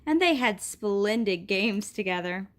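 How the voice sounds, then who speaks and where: A woman reading a picture book aloud in a gentle, expressive way, with a soft laugh now and then. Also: mid-range voice, measured pace. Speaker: storybook reader.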